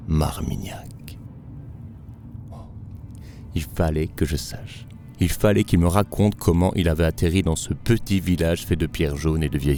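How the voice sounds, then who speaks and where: A documentary narrator with a very low, gravelly voice. He speaks slowly and reflectively, with a warm, mellow tone. A faint hiss of background noise sits under the recording. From Belgium. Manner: slow, measured, reflective delivery. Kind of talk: scripted voice-over narration.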